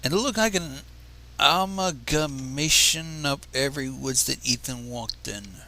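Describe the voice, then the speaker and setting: A man reading a story aloud on a livestream in an expressive, informal, theatrical and irreverent way. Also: medium pitch, measured pace. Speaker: fan fiction reader on a livestream.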